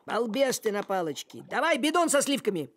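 A man voicing a grumpy, bossy, practical talking cat in an animated cartoon, with a sardonic, exasperated delivery. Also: extremely high pitch, a little fast, very noisy. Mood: exasperated, scolding.

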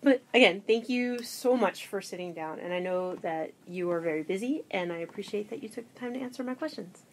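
A woman's voice: mid-range voice, brisk, slight background noise. Friendly woman interviewer, laughs easily. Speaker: podcast host and interviewer. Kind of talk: casual interview chat.

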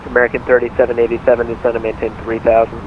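A man is directing aircraft as a controller over a narrow, muffled radio channel. His phrasing is clipped and procedural, delivered quickly, with a steady drone of noise behind it. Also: mid-range voice. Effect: radio transmission. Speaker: air traffic controller. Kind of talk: radio air traffic instructions.

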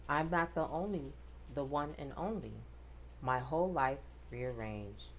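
A woman reading a rhyming picture book aloud to children, with expressive, dramatic emphasis. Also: rumbling low, slight background noise. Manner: addresses children directly, dramatic emphasis on rhymes.

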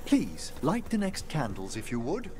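An actor voicing a gentle, wistful older necromancer scholar in a video game, speaking formally and courteously. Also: male voice, medium pitch, wildly swooping pitch, unhurried. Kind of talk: video game dialogue acting.

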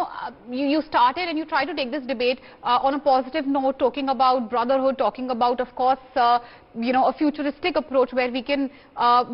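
A woman in her thirties with an Indian accent moderating a live news debate in a measured, wordy way. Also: sits high. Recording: muffled, long reverberant sound.

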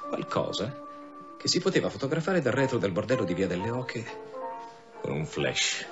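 A man's voice: lowish voice, big pitch swings, moderate pace. An actor playing a dogged, terse male police commissioner in a radio drama, speaking insistently. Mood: grimly insistent. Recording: muffled radio drama sound, music behind.

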